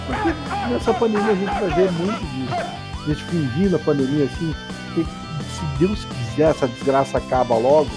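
A Brazilian man co-hosting a podcast, chatting in an animated way and breaking into loud exclamations. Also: on the high side, normal speed, over loud music. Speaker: podcast co-host. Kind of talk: casual podcast banter.

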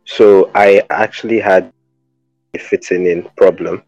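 A man from Nigeria, a podcast co-host, telling stories in a relaxed, jokey way. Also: deep voice, a little slow, no room sound, soft background music. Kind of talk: casual podcast chat. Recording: dull, dry lo-fi sound.

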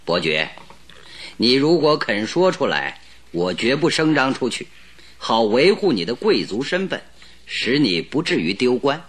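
A shrewd, self-assured detective from an old radio play. He presses and mocks with cool precision, his bright voice rising and falling in lively swings. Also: medium pitch, unhurried, slightly rough, full character voice. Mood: pressing. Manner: probing, mocking, coolly pressing. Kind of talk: radio drama acting.

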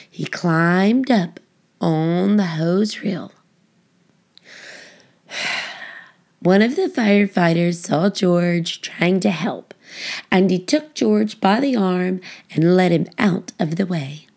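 A woman's voice: mid-range voice, gravelly. Woman reading a children's storybook. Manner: expressive, deliberate phrasing for young children. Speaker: children's book reader. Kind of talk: scripted storybook narration.

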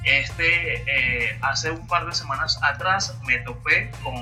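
A Venezuelan man in his twenties, a radio announcer hosting a casual podcast chat, talking in a chatty, animated way and correcting himself as he goes. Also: fairly high pitch, slow, distant mic, faint music behind. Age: 20s.

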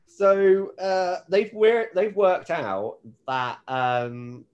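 A man's voice: high voice, drawn-out words, clear, resonant tone, dull audio. A British man chatting casually as a podcast co-host. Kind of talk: casual chat.

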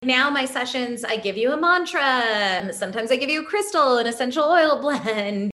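A woman's voice: sits high, animated, normal speed, pushed and bright. Candid woman in reflective conversation. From California, United States, immigrated at four. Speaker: podcast guest, spiritual teacher. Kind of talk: casual, reflective interview talk.

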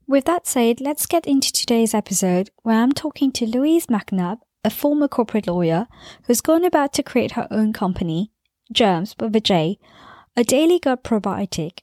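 A woman's voice: medium pitch, a little mellow. A young woman hosting a podcast, chatting in an upbeat, casual way with frequent restarts. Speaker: podcast host.

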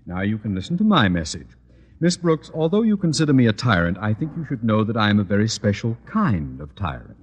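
An actor in an old-time radio comedy playing a pompous, short-tempered tyrant of a boss, mock-polite and gloating. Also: male voice, fairly low pitch, very animated, a little fast, slight background noise. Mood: smug, mock-polite. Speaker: supporting actor in a radio sitcom. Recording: reverberant vintage radio stage recording.

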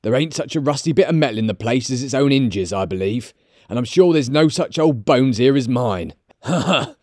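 A man's voice: mid-range voice, lively intonation, moderate pace, a little mellow, no room sound, studio quality, natural voice. A man voicing a sly, jovial shopkeeper of nearly seventy in a storybook, chatty and wry.

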